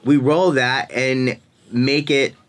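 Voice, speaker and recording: A man in his forties hosts a casual podcast chat in a mid-pitched voice. He rambles along, laughs easily and loses his thread now and then. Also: fairly even intonation, takes it slowly. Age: 40s. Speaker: podcast host.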